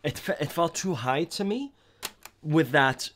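A man's voice: fairly high pitch, expressive, unhurried, a bit bright. A man in his thirties teaching accordion on a livestream from a home music room, thinking aloud and scatting melodies. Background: accordion playing.

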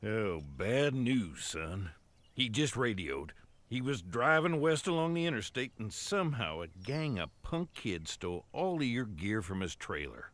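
A man playing a gruff, folksy, fatherly older police car in an animated video game. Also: fairly low pitch, a little slow, no room sound. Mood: concerned. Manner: folksy, stern.

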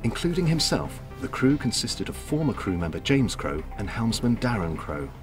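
A man giving scripted documentary narration in a measured, dramatic way. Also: medium pitch, big pitch swings. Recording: narration over music.

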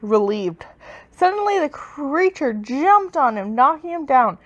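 A woman reading a children's story aloud with expressive, dramatic, emphatic delivery. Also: sits high, measured pace, highs cut off. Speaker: storybook reader.

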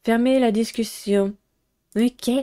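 A woman's voice: on the high side, fairly even intonation, takes it slowly, warm tone. A young woman, casually commenting on a game and reading its text aloud. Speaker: streamer playing a visual novel.

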